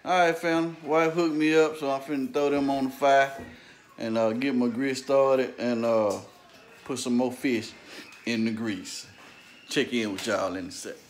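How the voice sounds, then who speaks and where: Man, young adult, casual vlog host, laid-back, mid pitch. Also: fairly even intonation, moderate pace. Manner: laid-back, joking, addresses viewers as family. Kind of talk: casual family vlog chat.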